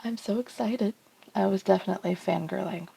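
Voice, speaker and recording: A woman's voice: mid-range voice, fairly quick, gravelly, warm tone, breathy edge, rough recording. A woman from New Zealand with a New Zealand accent, chatting casually and enthusiastically to camera as a tarot channel host, with lots of ums and asides.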